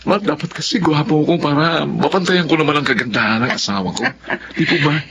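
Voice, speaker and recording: A man's voice: on the high side, a little fast, creaky, slight background noise. A man playing a devoted, affectionate young husband in an audio drama, earnest and playful. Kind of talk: audio drama acting with narration. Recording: loud music under voice.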